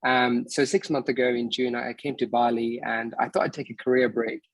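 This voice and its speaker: A man in his early thirties with a somewhat husky voice, recorded close and dry. He talks through an online meeting in a loose, casual way, stopping often to restart his sentences. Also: medium pitch, little pitch movement, fairly quick, dry recording. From Bangladesh, raised in England. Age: 30s. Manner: hesitant, many fillers and restarts. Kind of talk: casual presentation on a video call.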